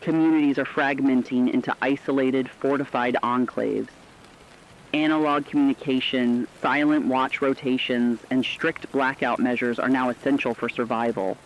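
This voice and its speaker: A man's voice: medium pitch, fairly even intonation, moderate pace, slight background noise, close to an everyday voice. Serious man playing a reporter, dull lo-fi radio sound. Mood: grave, urgent. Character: grave radio news reporter announcing a global catastrophe. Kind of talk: scripted emergency news broadcast.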